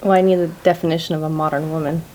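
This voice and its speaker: A woman in her twenties on a podcast panel, measured and careful, hedging often. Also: low voice, little pitch movement, mellow, slight background noise. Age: 20s. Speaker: fitness coach, panel guest. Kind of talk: casual panel discussion.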